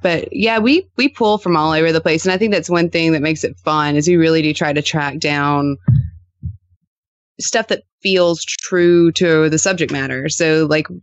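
A woman's voice: low-pitched, steady tone, moderate pace, no room sound, dull audio. Chatty woman podcaster, dry close sound. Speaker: podcast co-host appearing as guest. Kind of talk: casual interview chat.

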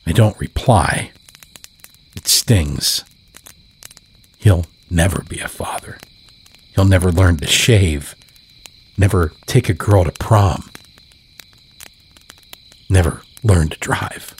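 A man narrating scripted horror fiction in a measured, deliberate way. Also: low-pitched, slight background noise, rough recording. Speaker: storyteller, narrator.

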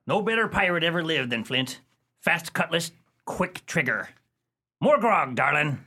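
A man's voice: a little high, very animated, a little slow, bright, forceful tone, husky, exaggerated voice. An actor playing a one-eyed male pirate, bloodthirsty yet sentimental, in a comic radio play with broad theatrical pirate dialect. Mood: demanding.

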